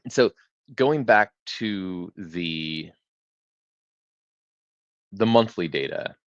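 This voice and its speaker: A man's voice: low-pitched, animated, very slow, no echo, highs cut off. A man hosting a live real estate webinar, explaining data tools in a conversational way with frequent restarts.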